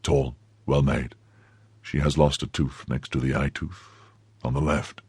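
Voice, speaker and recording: Man as a deep, phlegmatic gentleman musketeer. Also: sing-song, measured pace, breathy edge, no echo, full character voice. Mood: subdued, intent. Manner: phlegmatic, terse. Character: phlegmatic, commanding gentleman musketeer, low and measured. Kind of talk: audiobook character acting.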